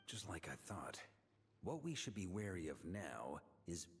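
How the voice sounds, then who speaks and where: An actor playing a confident, easygoing knight commander in a video game, speaking with relaxed authority. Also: male voice, deep voice, a little slow, slight background noise, heavily acted. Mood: calm, commanding. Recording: music under the voice.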